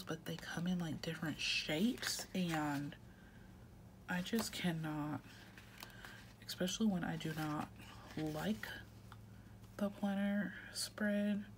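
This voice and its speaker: A congested woman with a low, slow voice talks softly over a steady hiss, often dropping to a half-whisper. She chats casually to her viewers as she plans her week at a home desk. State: congested with a head cold. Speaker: planner vlogger. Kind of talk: casual chat while planning.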